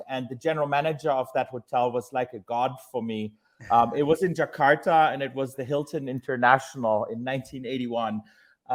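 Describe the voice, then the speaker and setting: A man in his forties from Germany with a German accent, a hotel executive talking as a podcast guest in loose, conversational storytelling full of fillers. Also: mid-range voice. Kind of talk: casual podcast interview.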